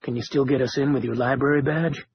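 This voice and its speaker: A man doing a calm, guarded leader. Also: medium pitch, quick pace, highs cut off, a little hiss or hum, natural voice. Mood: measured.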